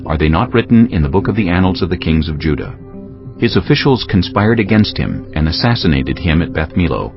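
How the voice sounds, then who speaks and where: An extremely deep male voice narrates scripture solemnly, with dramatic rises and falls. The delivery is measured, and the slightly dull recording has music underneath. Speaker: audiobook narrator. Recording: dull audio with music behind.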